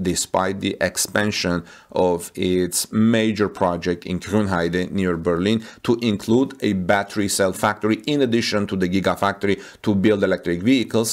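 A man reading out and commenting on news updates, with frequent fillers and restarts. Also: deep voice. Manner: halting, with many fillers and restarts.

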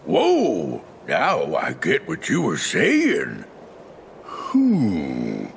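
A man voicing a big, bumbling cartoon bully with a gravelly, blustering delivery and folksy, uneducated grammar in a video game. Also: low voice, wildly swooping pitch, extremely slow, a little hiss or hum, full character voice. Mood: wary. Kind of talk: cartoon villain game acting. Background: game music.

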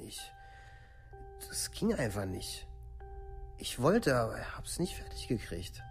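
An actor playing a troubled village youth from a far-right scene in a radio play, speaking colloquially in short clipped phrases. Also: male voice, mid-range voice, sing-song, slow, soft background music. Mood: subdued, confiding. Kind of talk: documentary radio play monologue.

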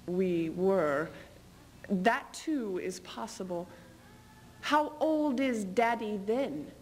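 A woman playing a proud, scheming medieval queen in a theatrical stage reading, taunting her husband with measured, biting speech. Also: mid-range voice, lively intonation, darkish tone, a little hiss or hum. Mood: cool, taunting. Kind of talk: theatrical readers theater duo performance. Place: stage in front of curtain.

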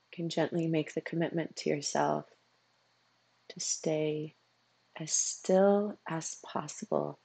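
A meditation coach guiding a practice in a soothing way, with unhurried phrases, long pauses and a husky, gravelly voice. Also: mid-range voice, fairly even intonation, dark tone, dry recording, rough recording. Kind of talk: guided meditation.

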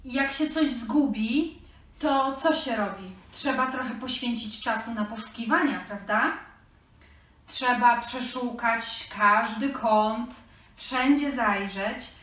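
A middle-aged woman speaks to children as a storyteller. Her voice is fairly high and very unhurried, recorded with roomy echo a little away from the mic. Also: fairly bright tone, far from the mic. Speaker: storyteller and children's lesson presenter.

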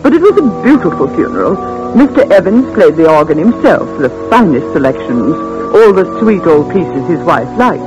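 A woman's voice: lowish voice, very animated, moderate pace, rough recording. An actress playing a gushing, gossipy small-town woman in a 1940s radio drama. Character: gossipy small-town sister Lizzie, chatty. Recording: old radio recording with music behind.

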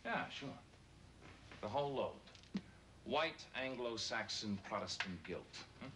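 A man's voice: medium pitch, expressive, measured pace, lightly acted. An American man acting a bitter, sardonic, weary suburban husband of forty in a 1970s television drama. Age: forties. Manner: sarcastic, weary. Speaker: actor in a television film. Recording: noisy old TV film soundtrack.

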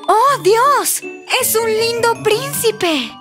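A spoiled, excitable little princess from a children's cartoon. The voice is girlish and very high, leaping dramatically up and down. Words come slowly and clearly exclaimed, over prominent children's music. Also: full character voice. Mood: amazed.

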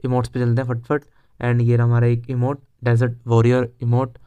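A man's voice: medium pitch, completely flat delivery, normal speed, mellow, smooth, highs cut off. A young man with an Indian accent giving casual, chatty game walkthrough commentary as a video host. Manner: informal, chatty, mixes Hindi with English words.

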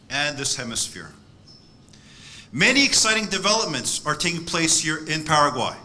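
A man, delivering a measured, emphatic keynote speech at a podium microphone in a conference hall. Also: a little high, sharp tone, a little hiss or hum. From born in South Korea, raised in the United States. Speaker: keynote speaker. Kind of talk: formal prepared speech.

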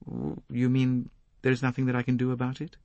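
Guy doing a snappy rich young wife from an audiobook, agitated and indignant, voice up high, bit breathy. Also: wildly swooping pitch, moderate pace, no room sound, muffled recording, full character voice. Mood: incredulous. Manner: sharp, agitated, incredulous. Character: impatient, indignant wealthy young married woman.

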